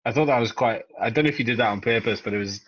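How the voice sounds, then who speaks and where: A man's voice: mid-range voice, rapid-fire, a bit bright, breathy edge, highs cut off. A man in his thirties co-hosting a podcast, joking around and laughing often. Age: 30s. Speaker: podcast co-host. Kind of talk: casual podcast chat.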